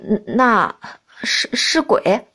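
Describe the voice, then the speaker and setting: A woman's voice: a little high, sing-song, very slow, pushed and bright, breathy edge, heavily acted. A woman voicing a flustered young princess who lives in a prince's body, hesitant and protesting, in an audiobook. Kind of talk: audiobook character dialogue.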